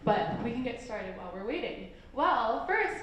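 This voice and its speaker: A woman's voice: fairly high pitch, very animated, moderate pace, a bit bright. A woman emceeing a live panel on stage, upbeat and cheerful, laughing often. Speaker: event emcee. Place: large echoey event hall with audience. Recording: echoey hall, crowd and room noise.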